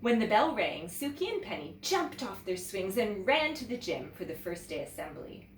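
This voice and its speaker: A woman teacher reading a children's story aloud in an expressive, animated way, pausing to check in with listeners. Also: medium pitch. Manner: expressive, pauses to ask listeners questions. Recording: roomy echo, distant mic, faint noise.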